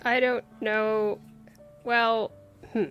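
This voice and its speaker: A woman streaming a video game, with a mid-pitched voice and a slow, unhurried pace. She muses aloud casually over faint game music. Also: flat delivery, lo-fi sound. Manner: musing, thinking aloud. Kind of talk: casual live commentary.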